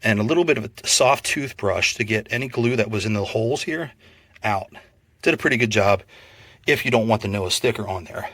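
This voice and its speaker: A man giving a casual, unscripted product review in a plainspoken, measured, explanatory way. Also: lowish voice, fairly bright tone, dry recording.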